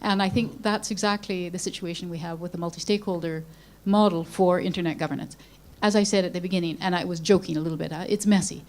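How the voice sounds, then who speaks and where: A woman's voice: on the low side, fairly even intonation, fast talker, few pauses, a little mellow. A woman speaking as a panelist at a conference, thoughtful and lightly joking as she makes her point. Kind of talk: panel discussion remarks.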